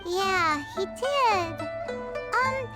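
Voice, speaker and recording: A woman voicing a chirpy, naive little fairy companion in a video game, squeaky and excitable, blurting things out. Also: very slow, heavily acted. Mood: earnest, chirpy. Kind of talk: English video game dub acting. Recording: game music behind.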